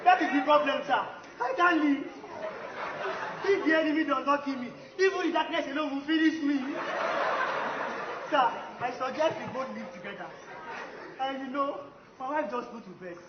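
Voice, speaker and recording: A man's voice: extremely high pitch, moderate pace, long pauses, over a noisy crowd. A man playing a timid, weak volunteer warrior in a stage comedy, whining and deferring to his superior. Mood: pleading. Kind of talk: comic stage play acting. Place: stage in a large hall with audience. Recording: echoey hall, muffled, loud audience noise.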